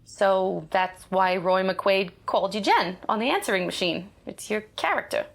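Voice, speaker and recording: A woman playing a pushy, doting, excitable mother in an audio drama, bossy and coaxing. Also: medium pitch, normal speed, gravelly. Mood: curious, prodding. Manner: bossy and coaxing, with animated exclamations. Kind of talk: naturalistic audio drama acting.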